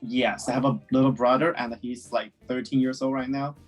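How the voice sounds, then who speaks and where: A man's voice: medium pitch, little pitch movement, moderate pace, soft background music. A man in his twenties from China, Chinese his first language, answering interview questions casually with many fillers. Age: 20s. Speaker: guest.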